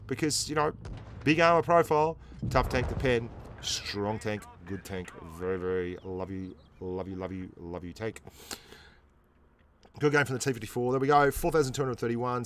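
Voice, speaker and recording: A man's voice: medium pitch, fairly bright tone, slight background noise. A man with an Australian accent giving chatty, joking commentary over his own tank gameplay as host of a gaming channel. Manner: chatty, joking, self-deprecating.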